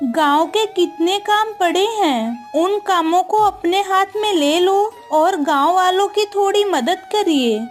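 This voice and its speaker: A woman voicing a sorrowful but steadfast landlord's wife in a cartoon moral story, pleading and consoling. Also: very high-pitched.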